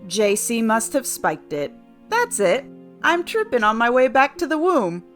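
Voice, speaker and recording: A woman's voice: on the high side, big pitch swings, measured pace, faint music behind. A woman playing a prickly, bewildered newcomer to the afterlife in an audio play, exclamatory in manner. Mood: indignant, baffled.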